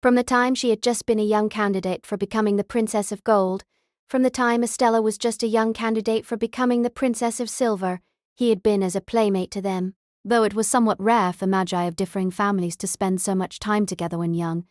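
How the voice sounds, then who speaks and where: Woman, novel narrator, scripted storytelling, medium pitch, no room sound. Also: steady tone, fairly quick, a little mellow, no room sound, studio quality.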